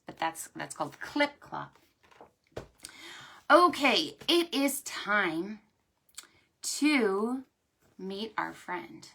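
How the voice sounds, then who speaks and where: Expressive, slow-paced woman leading preschool storytime. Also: fairly high pitch. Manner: sing-song, expressive, addressing young children. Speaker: librarian hosting preschool storytime. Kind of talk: children's storytime read-aloud and chat. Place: at home or indoors, livestreamed.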